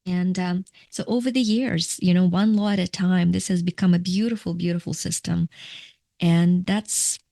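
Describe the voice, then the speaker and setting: A woman's voice: on the low side, steady tone, normal speed, creaky, mellow, no room sound, clean studio sound. A middle-aged woman from Ukraine, a coach answering interview questions in a reflective, explanatory way with small restarts. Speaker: guest, coach.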